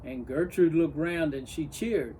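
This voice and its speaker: A man reading a children's story aloud in an expressive storybook narration style. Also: on the high side, measured pace, a little hiss or hum, low-quality recording. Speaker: story reader.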